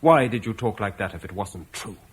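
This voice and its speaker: A man's voice: lowish voice, lively intonation, brisk, breathy edge. An actor playing a bitter young man who accuses his elder in a radio play. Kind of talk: 1950s radio play acting.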